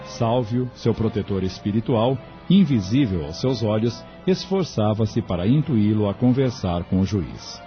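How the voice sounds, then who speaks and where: Low-voiced male narrator, muffled audio. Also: lively intonation, a little slow, few pauses. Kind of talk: scripted audio drama narration. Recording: dull lo-fi audio. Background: music.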